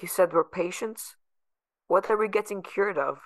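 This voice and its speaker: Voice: medium pitch, normal speed, no room sound, close to an everyday voice. An actor playing a sardonic, guarded young adult patient held in a facility, narrating in the first person with bitter sarcasm. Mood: sarcastic.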